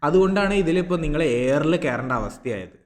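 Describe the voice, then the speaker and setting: A man's voice: on the high side, animated, a little fast. A man giving informal opinion commentary to viewers, repeating his points and punctuating them with rhetorical questions and questioning hums, in a very reverberant recording. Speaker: YouTube reaction commentator. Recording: long reverberant echo on the voice.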